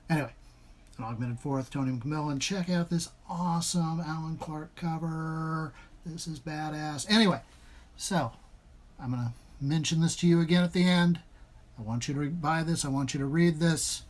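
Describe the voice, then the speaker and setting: A man hosts an online story reading in a casual, chatty way. He talks informally and with enthusiasm, circling back to repeat his points as he addresses his listeners. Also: on the high side. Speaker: host reading a story aloud to an online audience. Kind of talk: casual chat.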